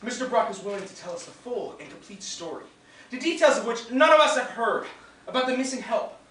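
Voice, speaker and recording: An actor playing a pompous, excitable male party guest in a comic stage play, declaiming wordily in an echoey theatre. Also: very high-pitched, fairly quick. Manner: declamatory, wordy. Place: indoor stage of a small theatre. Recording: echoey theatre, distant mic.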